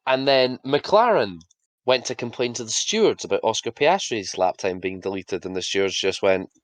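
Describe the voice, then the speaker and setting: A man with a fairly low voice, talking in a relaxed podcast chat. He laughs easily and drops into brief mock voices for officials. The sound is dry and close, with no room behind it. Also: dry recording. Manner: laughs often, acts out officials in quick asides. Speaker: podcast co-host. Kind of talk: casual chat.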